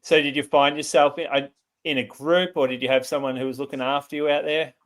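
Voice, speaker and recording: A man who hosts a podcast, asking relaxed questions and adding short comments. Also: fairly high pitch, fast. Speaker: podcast host. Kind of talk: casual podcast interview.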